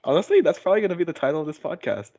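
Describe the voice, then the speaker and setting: A man's voice: a little high, expressive, rapid-fire, vocal fry, mellow. A young man hosting a casual podcast over a voice call, teasing and slangy, prone to goofy guffawing. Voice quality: big goofy guffawing laugh. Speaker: podcast host. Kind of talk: casual joking podcast chat. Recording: dull, muffled voice-call sound.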